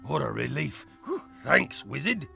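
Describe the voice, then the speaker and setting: A man's voice: mid-range voice, sing-song, a little slow, gravelly, over music, heavily acted. A man voicing an anxious townsperson in a fantasy video game. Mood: relieved. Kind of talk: scripted video game character acting.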